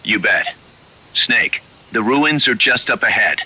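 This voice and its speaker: An actor playing a brisk, easygoing military comrade giving radio support in a video game. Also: male voice, mid-range voice, expressive, bright, forceful tone, a little hiss or hum, exaggerated voice, rough recording. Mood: upbeat, curious. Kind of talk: dramatic video game acting.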